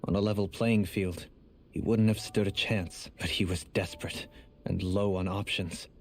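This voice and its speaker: An actor playing a refined, melancholy nobleman in a video game, speaking in a formal, measured, solemn way. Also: sits low, steady tone, a little hiss or hum. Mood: grave, regretful. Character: refined, melancholy young nobleman and sworn lord. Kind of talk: dramatic video game voice acting. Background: prominent music.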